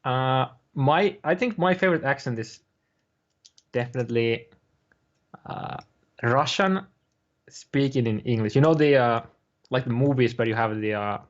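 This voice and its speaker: A young man talks casually on a live stream, stumbling over restarts and fillers. His voice sits at a middling pitch. The audio is slightly dull and lo-fi, with keyboard clicks in the background. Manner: rambling, halting with many fillers and restarts. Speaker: guest streamer.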